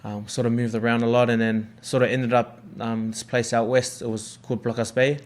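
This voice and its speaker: A man's voice: medium pitch, flat delivery, brisk. A young man from New Zealand with a New Zealand accent, chatting casually about his life as a rugby league player, rambling and laid-back. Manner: laid-back, rambling, lots of fillers and swearing. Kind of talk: casual podcast chat.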